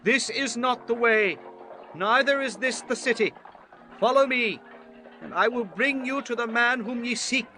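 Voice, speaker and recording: A man's voice: extremely high pitch, a little slow, sharp tone, exaggerated voice, rough recording. A man playing commanding prophets and kings of ancient scripture in a formal, declamatory way. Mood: fervent. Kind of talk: dramatized scripture acting. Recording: music bed under the voice.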